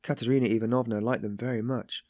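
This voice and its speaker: A man's voice: medium pitch, steady tone, quick pace, darkish tone, no echo, clean studio sound, natural voice. A man voicing an anguished, meek but passionately compassionate young woman, pleading in breathless exclamations and repetitions for an audiobook story. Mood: tearful.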